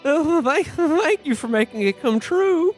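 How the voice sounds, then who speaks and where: A man plays an earnest everyman from an old movie, stammering and halting through his words in a radio play parody. He is naive and bashful, with faint music behind him. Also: extremely high pitch, lively intonation. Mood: bashful, eager. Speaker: podcast host playing himself. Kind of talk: parody of 1940s film acting in a radio play.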